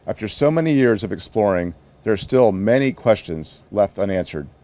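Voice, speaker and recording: Guy reading the part of a kindly proud old space shuttle, american, gentle storybook voice, lowish and soft toned. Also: lively intonation, moderate pace, natural voice.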